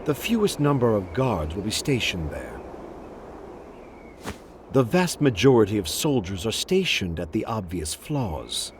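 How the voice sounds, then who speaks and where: Slow, swooping, shrewd war strategist. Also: male voice, fairly low pitch, big pitch swings, slight background noise. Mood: calculating, assured. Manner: deliberate, formal, theatrical. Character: shrewd, theatrical military strategist, loyal retainer to a prince. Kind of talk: video game voice acting. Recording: music behind the voice. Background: game music.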